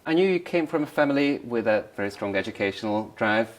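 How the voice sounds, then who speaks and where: Male podcast host, mid-pitched, brief prompting questions. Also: animated, fast talker. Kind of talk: interviewing a guest.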